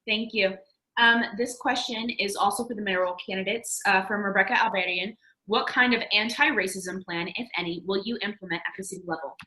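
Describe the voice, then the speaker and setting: A woman's voice: medium pitch, brisk, bright, forceful tone. A woman moderating a virtual candidate forum, polite and often apologetic. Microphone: video call. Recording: echoey room on a video call.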